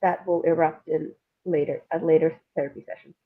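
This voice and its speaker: A woman's voice: low voice, fairly even intonation, fairly quick, phone-quality sound, a crowd in the background. A woman from India speaking as a writer guest in a reflective interview, thoughtful and hesitant, with self-deprecating humor. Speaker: guest, playwright and screenwriter.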